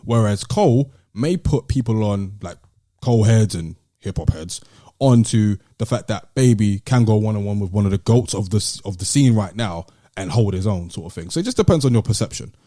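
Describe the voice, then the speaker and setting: A man chats as a podcast co-host. His voice is fairly low and warm, recorded dry with no room sound, and his style is relaxed conversation. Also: fairly quick, no room sound. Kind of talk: casual conversational discussion.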